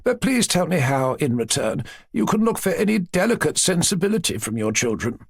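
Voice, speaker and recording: A man reading aloud, voicing a cool, sharp-tongued old grandmother who stresses her words pointedly. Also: a little high, sing-song, a little fast, vocal fry, slightly rough, no room sound, studio quality, pitched above the performer's own voice, exaggerated voice.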